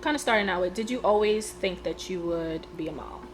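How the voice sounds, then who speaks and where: A woman's voice: lowish voice, brisk, slight background noise. A woman hosting a podcast interview. She is under the weather and talks in a casual, friendly way with plenty of fillers. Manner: friendly and chatty, with frequent fillers. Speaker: podcast host.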